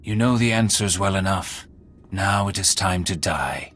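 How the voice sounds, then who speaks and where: An actor playing a menacing assassin in a video game, speaking in an ominous, formal way. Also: male voice, sits low, a bit bright, slight background noise. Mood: cold menace. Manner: slow, ominous, formal phrasing. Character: menacing male assassin of a terrorist cell.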